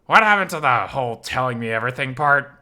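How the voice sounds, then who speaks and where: A man's voice: medium pitch, lively intonation, quick pace, bright, forceful tone. A man voicing a naive, flustered young male bat who stammers in disbelief and embarrassment in a visual novel reading. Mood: curious, pestering. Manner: stammering, incredulous, easily embarrassed.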